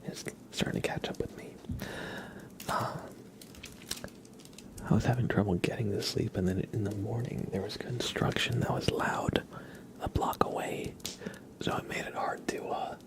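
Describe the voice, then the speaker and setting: A man hosting an ASMR livestream, chatting casually in a hushed, breathy whisper with an unhurried, relaxed manner. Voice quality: breathy whisper with low creaky undertone.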